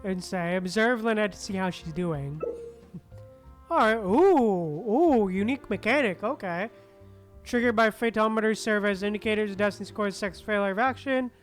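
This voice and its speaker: A woman's voice: medium pitch, moderate pace, soft background music. A woman game streamer giving casual, slangy reaction commentary over gameplay. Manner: informal, slangy.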